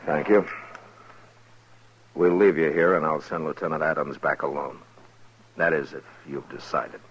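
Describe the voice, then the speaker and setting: An actor playing a steady, terse frontier marshal with measured authority in a 1950s radio western. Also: male voice, very deep voice, a little hiss or hum. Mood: reassuring. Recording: muffled old 1950s radio recording.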